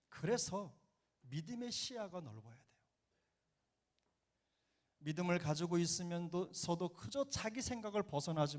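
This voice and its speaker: Earnest Korean pastor, slightly high voice. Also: male voice. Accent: Jeolla dialect. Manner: earnest preaching, rising to emphatic exhortation. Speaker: senior pastor. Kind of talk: sermon. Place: church sanctuary.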